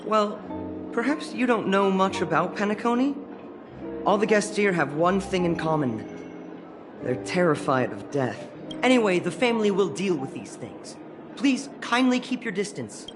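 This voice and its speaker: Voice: mid-range voice, animated, normal speed, lightly acted. An actor voicing a polite, guarded security staffer in a studio game, formal and a little evasive. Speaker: game character. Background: game music.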